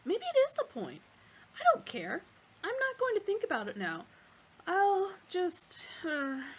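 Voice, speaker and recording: A woman's voice: very animated, moderate pace. Woman doing a flustered, squeamish young man. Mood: flustered protest. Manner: high, flustered protesting.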